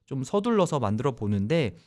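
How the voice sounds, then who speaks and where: A man hosting a radio sports talk show, explaining at length in a loose, animated conversational way. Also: medium pitch, brisk.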